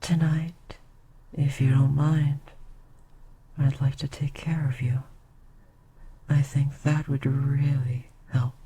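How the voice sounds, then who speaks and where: A woman voicing an ancient queen succubus, soft and tender, often slipping into a breathy whisper in an ASMR roleplay. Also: a bass voice, little pitch movement, slow, long pauses, background noise.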